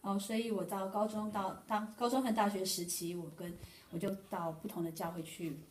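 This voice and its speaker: A woman interpreting a sermon testimony sentence by sentence in a brisk, matter-of-fact way. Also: mid-range voice, fairly even intonation. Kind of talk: consecutive church interpretation. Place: church hall. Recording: echoey room from far off.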